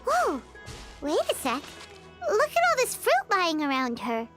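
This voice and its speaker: An excitable little fairy girl for a video game, with an extremely high, squeaky, childlike voice. She chatters in exclamations and swoops widely in pitch, with music playing loudly behind her. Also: unhurried, heavily acted. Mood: curious, concerned. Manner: refers to herself by name, exclamatory. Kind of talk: video game character acting.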